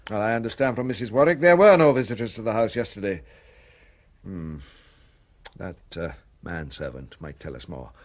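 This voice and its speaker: Brisk, animated police inspector. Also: male voice, lowish voice. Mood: musing, wry. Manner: questioning, thinking aloud in clipped phrases. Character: male police inspector, brisk, probing, wryly businesslike. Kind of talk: radio play acting.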